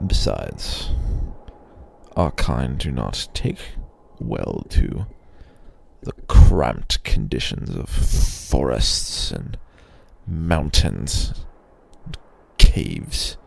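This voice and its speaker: A man playing an arrogant, possessive male blue dragon in a slow roleplay ASMR monologue, with a gravelly, breathy growl and a haughty, rambling manner. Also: very deep voice, very animated, slight background noise, exaggerated voice. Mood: proud, musing. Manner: haughty, rambling, with pauses and sighs.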